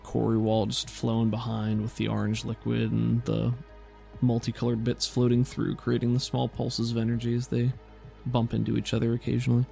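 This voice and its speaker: A man with a creaky, mid-pitched voice runs a tabletop roleplaying game. He narrates conversationally, feeling his way through descriptions. Faint music plays behind him. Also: monotone, brisk, mellow. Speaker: game master.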